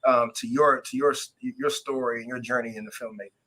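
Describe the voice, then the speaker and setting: A male co-host in a radio studio, asking a guest a wordy, halting question with many restarts. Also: medium pitch, steady tone, pushed and bright. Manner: frequent backchannel agreement, halting wordy questions. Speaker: talk show co-host. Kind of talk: casual talk show chat.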